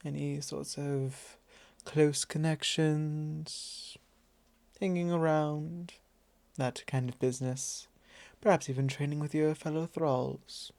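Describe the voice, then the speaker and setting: A suave vampire lord, acted out by a man in an intimate ASMR roleplay, speaks with mellow, gravelly courtliness. He is possessive and softly commanding, teasing as he goes, and sounds very close and dry. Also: a little high, no room sound. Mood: courteous, charming.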